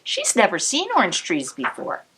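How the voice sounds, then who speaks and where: A woman cantor reading a picture book aloud to children, pausing to explain the pictures, sometimes dropping to a whisper. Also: medium pitch, a little hiss or hum. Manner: slow, expressive storybook reading with soft whispered asides. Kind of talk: read-aloud storytime with asides to kids.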